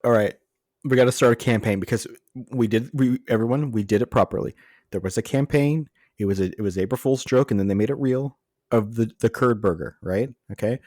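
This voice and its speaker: A man's voice: mid-range voice, brisk, a little mellow, no room sound, studio quality. An American man co-hosting a podcast in casual comedic chat, ranting with mock exasperation. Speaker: podcast co-host.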